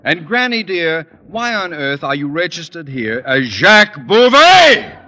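An actor playing a pompous, sarcastic middle-aged high school principal in florid old-time radio comedy style. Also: male voice, very animated, a little slow, bright, forceful tone, full character voice. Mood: sly sarcasm. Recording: noisy old radio recording.

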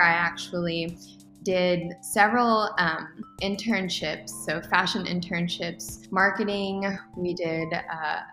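Young woman guest on a podcast, chatty and rambly, bright punchy voice, echoey room. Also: on the low side. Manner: chatty, upbeat, rambling with fillers. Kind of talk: casual interview answers. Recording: roomy echo with faint music.

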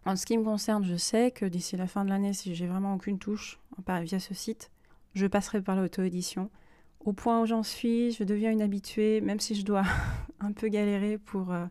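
A woman's voice: medium pitch, fairly even intonation, moderate pace, dark tone, no room sound. A woman hosting a personal podcast, speaking unscripted and reflectively, often hesitating as she searches for her words. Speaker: podcast host and self-published author.

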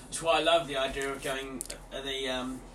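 A man's voice: mid-range voice, steady tone, normal speed, a bit bright, echoey room. A man in his forties chatting casually as a podcast guest, rambling and joking. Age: 40s. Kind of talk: casual chat. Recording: distant mic, noisy room.

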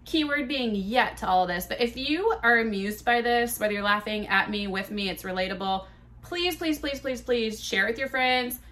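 Bright, chatty woman podcast host. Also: on the high side, normal speed. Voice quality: big voice. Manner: chatty, profane and self-mocking, full of "like" and quoted dialogue. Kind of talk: casual solo podcast storytelling.

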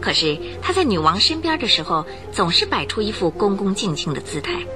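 A woman's voice: medium pitch, very animated, fairly quick, over music. A woman narrator telling a radio drama story from a script in a vivid, suspenseful, dramatic way.

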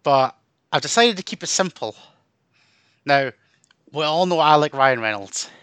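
A man co-hosting a podcast, talking loosely and mocking his own efforts. His voice is bright and sharp, recorded close and dry with no room sound. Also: fairly high pitch, animated, measured pace, clean tone, no room sound. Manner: rambling, self-mocking, swears. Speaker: podcast co-host. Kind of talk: casual chat.

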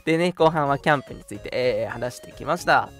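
A man's voice: mid-range voice, expressive, fast talker, a bit bright. A young man co-hosting a live radio talk show, mixing casual, jokey banter with polite announcements. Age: young adult. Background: background music.

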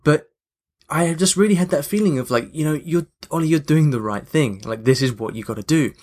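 A man's voice: a little high, fairly quick, darkish tone. Conversational man hosting a podcast. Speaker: podcast host. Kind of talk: casual chatty monologue to listeners.

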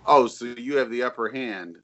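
A man's voice: mid-range voice, normal speed, lo-fi sound. A man who is a guest on a podcast, talking bluntly and crudely in casual banter. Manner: blunt, profane, animated.

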